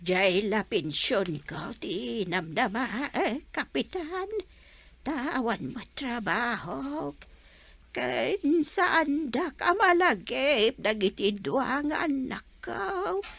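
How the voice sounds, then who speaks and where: A woman acts out an elderly, worried village mother in an Ilocano radio drama. The voice is creaky and dragging, very slow, with a plaintive lilt that swings widely and an Ilocano accent. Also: fairly high pitch, very animated, fairly bright tone, dry recording, full character voice. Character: frail, worried old village mother on a pension. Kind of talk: Filipino radio comedy drama acting.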